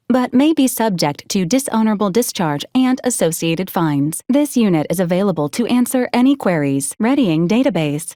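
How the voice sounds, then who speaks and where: Actress as female robot support unit, video game, officious, formal clipped delivery, deadpan, mid-range pitch, dry close sound. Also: dry recording, close to an everyday voice. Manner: formal, clipped, programmed announcements in complete phrases.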